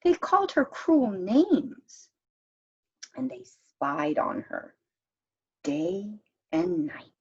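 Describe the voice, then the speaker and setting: Slow, expressive woman reading a storybook aloud. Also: mid-range voice, breathy edge, dull audio. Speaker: storyteller reading a children's picture book aloud. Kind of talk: read-aloud storytime narration.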